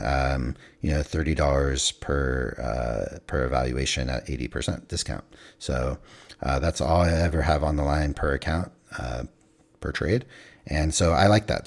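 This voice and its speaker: Man, very deep and breathy, going hoarse; rambling desk-mic trade recap. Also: a little mellow. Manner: rambling, many fillers and restarts. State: losing his voice, clearing throat. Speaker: futures trader reviewing his trades. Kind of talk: casual screen-recorded trade review.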